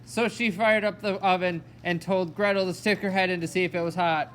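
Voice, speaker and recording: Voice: mid-range voice, fairly even intonation, brisk, fairly bright tone, low-quality recording. A narrator telling a fairy tale in a storytelling style for a children's puppet show.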